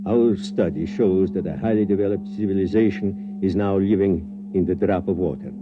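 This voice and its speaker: An actor playing an observing professor in 1950s radio drama style, clinical and deliberate. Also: male voice, sits low, fairly even intonation, lightly acted. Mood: clinical, detached. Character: measured male professor observing through a microscope. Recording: thin phone-like sound, music behind.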